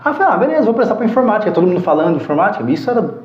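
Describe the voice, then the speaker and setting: A talkative man in his thirties with a fairly high voice. He tells stories from his life in a casual way, laughing often and acting out what people said. The sound is echoey and a bit dull. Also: sing-song, rapid-fire. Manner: chatty, laughs often, acts out quoted dialogue. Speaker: podcast guest. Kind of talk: casual storytelling.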